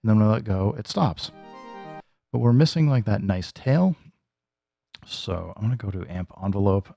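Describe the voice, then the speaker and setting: A man hosts a casual hands-on tutorial in a very low voice with plenty of gravel. His tone is dark and relaxed, and he thinks aloud informally as he demonstrates. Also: no echo. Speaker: music gear tutorial host.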